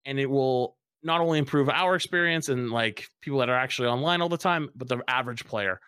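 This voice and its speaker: A man's voice: medium pitch, fast, a bit bright, clean tone, no echo, studio quality. A young man speaking bluntly in a casual panel discussion, swearing freely as a streamer sharing his view.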